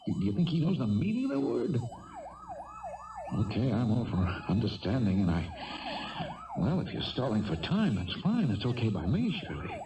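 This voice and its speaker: A man's voice: medium pitch, wildly swooping pitch, highs cut off. An actor playing a nervous, worried family man, a mild schoolteacher, in a 1970s radio drama. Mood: exasperated. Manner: nervous, halting, protesting. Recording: distant old radio broadcast.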